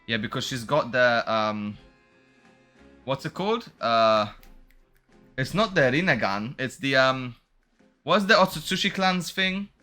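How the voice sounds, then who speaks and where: A man's voice: mid-range voice, animated, a little slow, long pauses, pushed and bright. A man doing casual, slangy game-streamer commentary, blunt and given to swearing as he reacts to story scenes. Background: game music.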